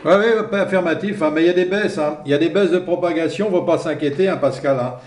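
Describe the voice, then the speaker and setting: A man's voice: a little high, fast talker, a little mellow. A man chatting casually over two-way radio in an easygoing way, often ending phrases with small tag words. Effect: two-way radio transmission. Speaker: amateur radio operator. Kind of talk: casual radio contact chat. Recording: echoey two-way radio transmission.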